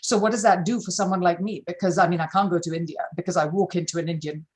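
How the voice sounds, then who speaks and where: A woman's voice: lowish voice, fairly even intonation, quick pace, a little mellow. A middle-aged woman from Malawi, an interview guest speaking candidly and emphatically, often repeating words for stress.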